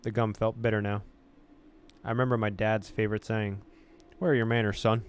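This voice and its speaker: A man's voice: lowish voice, flat delivery, brisk, a little hiss or hum. A man hosting a let's-play, reading story text expressively and dropping in casual asides. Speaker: gaming video host.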